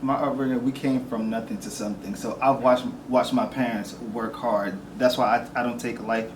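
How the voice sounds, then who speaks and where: A man's voice: mid-range voice, normal speed, recorded from a distance. A man in his forties, born in Venezuela, answering interview questions in an easygoing way and dropping in short "yeah"s. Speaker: podcast guest, entertainment reporter. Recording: echoey room, off-mic, steady background noise.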